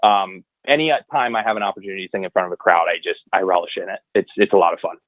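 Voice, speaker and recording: A man's voice: sits low, fairly even intonation, rapid-fire, a bit bright, no room sound. Rambling young man on a muffled line. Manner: rambling, many fillers and restarts. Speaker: guest, hockey anthem singer. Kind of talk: casual podcast chat.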